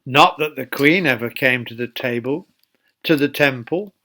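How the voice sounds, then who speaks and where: A man reading a Bible story aloud to children as a church storyteller, speaking deliberately and putting questions to his young listeners. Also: mid-range voice, bright, forceful tone.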